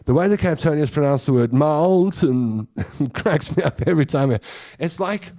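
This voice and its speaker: A man's voice: fairly high pitch, animated, normal speed, mellow. A man from South Africa with a South African accent, hosting a show with a chatty, rambling comic monologue and laughing at his own stories. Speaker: host.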